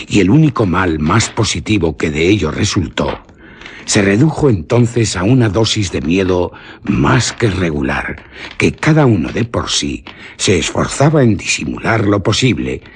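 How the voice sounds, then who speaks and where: Deep-voiced man narrating gothic horror. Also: breathy edge. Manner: solemn, theatrical, literary delivery. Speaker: radio drama narrator. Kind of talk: dramatic scripted narration of a gothic horror tale.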